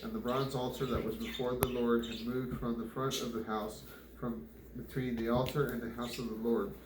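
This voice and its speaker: A man's voice: mid-range voice, flat delivery. Man reading scripture aloud in echoey, noisy room. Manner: halting, stumbles over words and repeats them. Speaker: Bible reader. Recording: echoey room with clear background noise.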